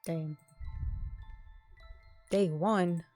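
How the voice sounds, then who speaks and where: A woman streaming a visual novel, moving between casual remarks and reading narration aloud. Her voice sits a little low and her manner is informal and expressive. Soft game music runs underneath. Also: drawn-out words.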